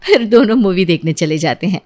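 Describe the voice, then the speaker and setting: Lively woman narrating a scripted story. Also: on the low side, fairly quick.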